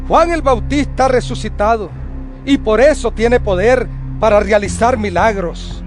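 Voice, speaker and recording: A man playing an excited, emphatic townsman in a scripture audio drama. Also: high voice, measured pace. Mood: excited awe. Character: excited believer spreading rumor of a resurrection. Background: music.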